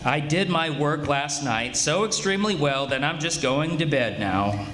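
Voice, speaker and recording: A man's voice: fairly high pitch, lively intonation, unhurried, fairly bright tone. A man playing a fussy, grandiloquent, tipsy sidekick with droll theatrical grumbling, in 1940s radio style. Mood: grumbling, weary. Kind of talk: 1940s radio comedy-mystery acting. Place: live stage radio theatre performance. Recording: echoey stage, faint background noise.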